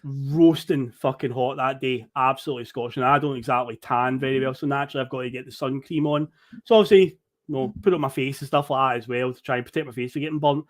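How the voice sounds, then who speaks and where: A man with a Scottish accent, a podcast guest telling a travel story in casual chat, animated and swearing freely. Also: medium pitch, fast talker.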